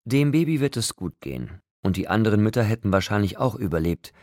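A man's voice: on the low side, lively intonation, brisk, a little mellow, no room sound, voice pushed up, lightly acted. Guy reading an audiobook as a snooty icy blonde woman, venomous and emphatic, voice lifted up, super dry close sound. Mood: scornful, insistent. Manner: contemptuous, emphatic. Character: haughty, scornful blonde woman, venomous and cold.

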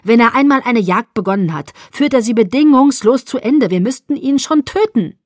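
A woman's voice: mid-range voice, very animated, normal speed, slightly rough, no room sound. Lady doing an intense young vampire guy in an audiobook, bossy, clipped, dry close sound. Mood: urgent warning. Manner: urgent, clipped, commanding. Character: intense, grimly driven young male vampire.

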